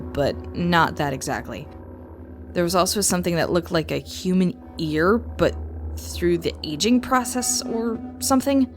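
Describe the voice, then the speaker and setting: A woman narrating a scripted first-person creepy story. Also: on the low side.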